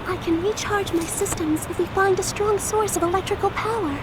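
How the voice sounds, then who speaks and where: A woman's voice: very high voice, fairly quick, heavy background noise. A woman playing a polite, obedient young female android in a video game cutscene, formal and courteous. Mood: calm, obliging.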